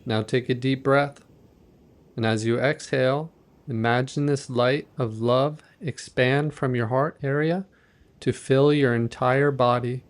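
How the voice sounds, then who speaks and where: Gentle guy doing guided meditation narration, medium pitch, measured and soft instructions. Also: steady tone.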